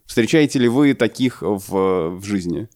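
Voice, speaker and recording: A man's voice: fairly low pitch, lively intonation, a little slow, a little mellow, no echo, studio quality. A man interviewing someone, asking long, roundabout questions with many hesitations. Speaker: interviewer, host.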